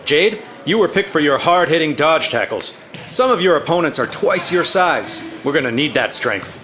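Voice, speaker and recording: An actor playing a stern, businesslike corporate handler in a cartoon, giving a curt, commanding briefing. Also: male voice, a little high, animated, normal speed, bright, forceful tone, a little hiss or hum. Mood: brisk, commanding. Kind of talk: mission briefing.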